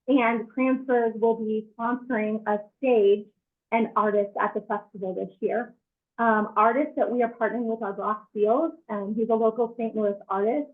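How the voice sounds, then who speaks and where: Hoarse woman on a tinny call line. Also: on the high side. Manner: upbeat announcing of updates. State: hoarse, losing her voice. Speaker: events director. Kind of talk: community video call update. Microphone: video call. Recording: tinny phone-like call audio.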